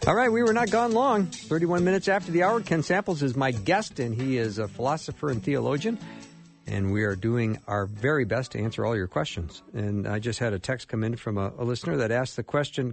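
A man's voice: medium pitch, creaky. A man with an American accent hosting a live radio call-in show in a casual, conversational style.

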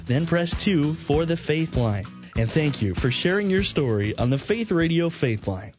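A man reads a station promo in an upbeat announcer style, his pitch moving a lot. A clear music bed plays behind him. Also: lively intonation, normal speed, a little mellow. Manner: smooth, upbeat announcer read. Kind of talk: radio promo read.

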